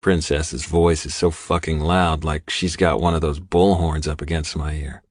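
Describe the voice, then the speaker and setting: A man's voice: extremely low pitch, little pitch movement, normal speed, dry recording, clean studio sound. A man reading first-person dramatic fiction as an audiobook narrator.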